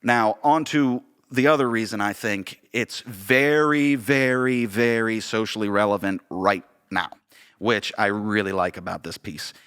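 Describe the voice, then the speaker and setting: A man's voice: on the low side, unhurried, a bit bright, clear, resonant tone. A man with an American accent talking casually on a podcast as co-host, often searching for words and repeating phrases.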